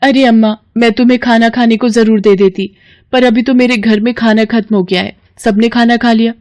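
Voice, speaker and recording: A village neighbour woman, put on by a female narrator, talks in a raised, higher voice with a brisk, plain-spoken manner. It is a storybook character, recorded dry. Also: fairly even intonation, darkish tone, no room sound, close to an everyday voice. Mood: excusing.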